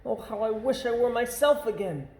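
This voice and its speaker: A desperate young donkey boy, as a man reads him in a storybook, his voice pushed way up high and pleading. The tone stays soft and mellow, and the room around it rings with echo. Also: moderate pace. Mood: longing. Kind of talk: storybook read-aloud. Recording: echoey room.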